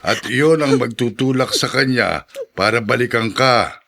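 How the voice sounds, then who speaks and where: A man's voice: mid-range voice, unhurried, gravelly, fairly bright tone. A man playing an opinionated, reassuring elderly father in a Filipino radio drama.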